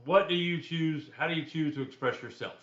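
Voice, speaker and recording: A man's voice: moderate pace, fairly bright tone, reverberant, highs cut off. A man, giving a recorded class lecture in a loose, conversational way with personal asides. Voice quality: deep voice. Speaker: instructor.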